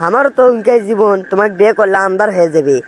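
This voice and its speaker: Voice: medium pitch, rapid-fire, natural voice. An actor voicing a flirtatious village man in a comic cartoon skit, speaking with a northern Bangladeshi Bogura accent and breaking into song. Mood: comic grumbling. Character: flirtatious village man serenading women on the road.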